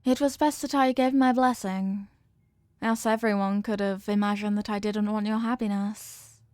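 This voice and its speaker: An evil queen, played by a woman in a scripted audio roleplay, speaks with formal, measured authority. She is possessive and obsessively in love, with menace veiled under courtly manners. The sound is dry, with very little room in it. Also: medium pitch, steady tone, a little mellow, no echo, natural voice. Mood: solemn devotion. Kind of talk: scripted roleplay monologue, ASMR audio drama.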